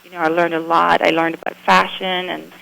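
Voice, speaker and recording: A woman's voice: lowish voice, little pitch movement, brisk, gravelly, a bit bright, low-quality recording. A middle-aged woman from Sweden with a Swedish accent, telling her story in a friendly, upbeat way as a radio interview guest. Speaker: photographer guest. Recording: radio broadcast.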